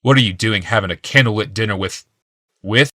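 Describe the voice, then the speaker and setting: A man's voice: lowish voice, fairly quick, pushed and bright, no echo, clean studio sound. A man voicing a bitter, paranoid, ailing male genius in an audiobook, growling and hissing with wrath. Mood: furious.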